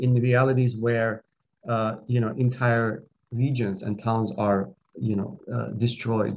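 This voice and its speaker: Middle-aged male panelist, earnest lecturing with halting fillers, video call sound. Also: medium pitch, darkish tone. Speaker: conference panelist. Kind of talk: academic conference talk. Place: home office with curtains. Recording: muffled video call audio.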